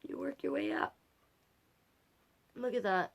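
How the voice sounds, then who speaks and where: A woman hosting a livestreamed storytime, reading picture books in an animated way with chatty, encouraging asides to viewers. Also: a little high, measured pace, gravelly, slight background noise. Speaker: storytime host and reader.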